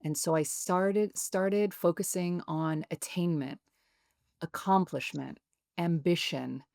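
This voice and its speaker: A woman in her thirties, a guest author speaking in a calm, soothing, measured way in a reflective interview. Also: on the low side, creaky, no room sound, studio quality.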